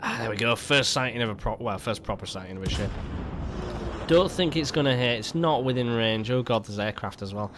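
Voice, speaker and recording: A man's voice: mid-range voice, brisk, noisy background. A man hosting casual gameplay commentary, rambling with stumbling restarts and a lingering cough. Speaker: gaming video host.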